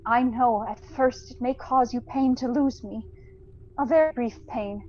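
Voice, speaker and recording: A woman playing a sorrowful, dignified young woman in an audio drama, speaking gently and earnestly in measured phrases. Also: fairly high pitch, muffled recording, slight background noise, lightly acted. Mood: sorrowful, firm. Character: sorrowful, dignified young fiancée releasing her beloved. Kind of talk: theatrical audio drama acting.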